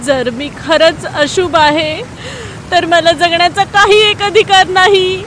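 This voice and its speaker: A woman's voice: very high-pitched, steady tone, slow pace, background noise, voice pushed up. A woman voicing a distressed young female goat in an animal story, pleading in a plaintive, emotional way. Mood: despairing.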